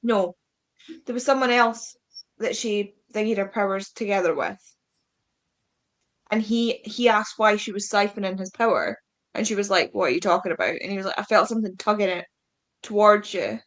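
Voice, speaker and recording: A woman co-hosts a casual chat about books. Her voice is medium in pitch, and she talks loosely, with "like" scattered through it and quotes acted out as she goes. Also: normal speed, pauses often, fairly bright tone. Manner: peppers speech with "like", acts out quotes. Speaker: co-host of a book readalong.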